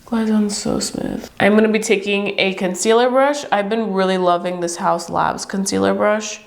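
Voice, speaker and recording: A woman's voice: medium pitch, fairly even intonation, moderate pace, gravelly. A young woman reviewing beauty products to camera in a chatty, upbeat way, her voice stuffy and congested from a cold. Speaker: beauty vlogger.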